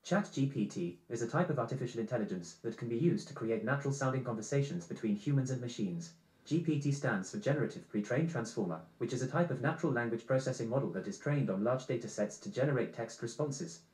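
A man's voice: mid-range voice, expressive, fast talker, darkish tone, echoey room, low-quality recording. A synthetic male voice assistant reading out informational answers as text-to-speech.

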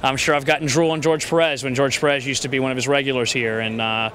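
Fast-talking comic dealer man. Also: mid-range voice, fairly even intonation, a bit bright, a little hiss or hum, poor audio. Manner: enthusiastic, rambling. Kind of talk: casual interview. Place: convention hall, indoors.

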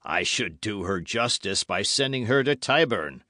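Man acting scornful nobleman, imperious, indignant, deliberate, expressive swings, historical audiobook, dry sound. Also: lowish voice, no room sound. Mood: cold scorn. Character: haughty English duke, grown man, scornful and indignant. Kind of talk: dramatic audiobook character reading.

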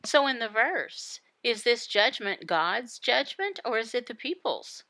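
A woman voicing a Bible devotional, explaining it phrase by phrase in a deliberate manner with pauses. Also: fairly high pitch, very animated, pushed and bright, dry recording. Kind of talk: Bible teaching, voiced devotional.

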